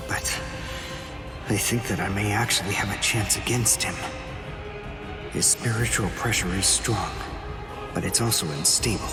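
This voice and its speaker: An actor playing an earnest, intense and protective young warrior in an anime game. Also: male voice, fairly low pitch, natural voice. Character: earnest, analytical young male archer-warrior, protective and indignant. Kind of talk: anime video game voice acting. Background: music.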